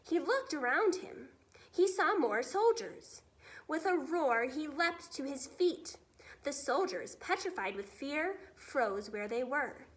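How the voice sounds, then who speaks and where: A woman narrating a children's storybook expressively, with dramatic, deliberate emphasis. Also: high-pitched, lively intonation. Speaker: teacher reading a children's book aloud.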